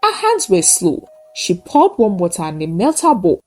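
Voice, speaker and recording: A woman narrating a scripted folktale in short, measured, dramatic phrases. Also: on the low side, wildly swooping pitch, dark tone. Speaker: storyteller.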